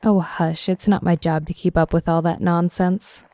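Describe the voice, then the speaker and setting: A young woman playing a tough, sardonic zombie killer in an audio drama, with a deadpan, teasing, brisk delivery. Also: lowish voice, no echo, natural voice. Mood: cocky, coaching. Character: tough, sardonic twenty-five-year-old woman, cocky zombie killer. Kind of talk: audio drama acting, casual dialogue.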